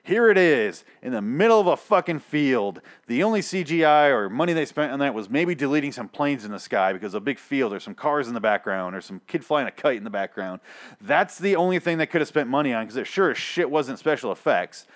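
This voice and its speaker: A man's voice: medium pitch, lively intonation, a little fast. Young guy ranting about a tv episode, swears constantly, rambly and fed up, kinda losing his voice. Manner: exasperated, sweary, rambling with restarts. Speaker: TV show reviewer. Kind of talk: profane ranting review.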